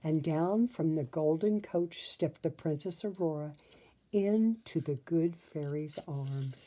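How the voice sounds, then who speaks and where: A woman reads a children's storybook at an unhurried pace. Her voice is very mellow and a little low, expressive in narration, with asides to the listener. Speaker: storybook reader.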